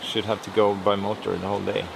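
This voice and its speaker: A man's voice: fairly low pitch, fast, creaky. A Swedish man in his forties chatting casually about the sail from a boat cockpit at sea, filmed on a close camera. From Sweden. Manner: relaxed, matter-of-fact, sailing jargon. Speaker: sailing vlogger. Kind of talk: casual chat. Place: cockpit of a sailboat at sea, outdoors. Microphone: handheld camera close to face. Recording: wind and wave noise outdoors.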